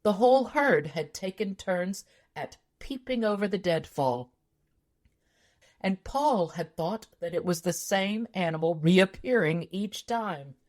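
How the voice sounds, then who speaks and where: A woman's voice: medium pitch, lively intonation, a little slow, a little mellow. A woman reading a tall tale aloud as scripted storybook narration. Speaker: audiobook narrator.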